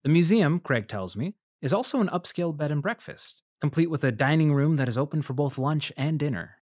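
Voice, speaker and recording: A man playing a polite, curious freelance journalist in a scripted audio drama, telling his story in a measured way. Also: on the high side, expressive, a little mellow, no room sound, studio quality. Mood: measured narration. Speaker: narrator and interviewer. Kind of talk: scripted first-person audio drama narration.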